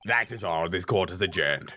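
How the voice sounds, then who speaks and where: A man voicing a solemn elderly courtroom judge in a video game, slow and formal in manner. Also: sits low, animated, sharp tone, voice pushed down, exaggerated voice.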